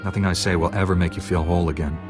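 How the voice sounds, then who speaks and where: An actor playing an earnest, steadfast Jedi apprentice in a video game, composed and formal. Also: male voice, sits low, steady tone, racing, music in the background, close to an everyday voice. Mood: earnest resolve. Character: earnest, steadfast young Jedi Padawan hero.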